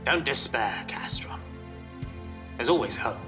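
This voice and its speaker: A man voicing an ancient, weary war hero in an audio story, hushed and measured, with music behind. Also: medium pitch, lively intonation, pitched above the performer's own voice, lightly acted. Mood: weary, consoling. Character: ancient, weary legendary male war hero, quietly consoling. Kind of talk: audiobook character acting.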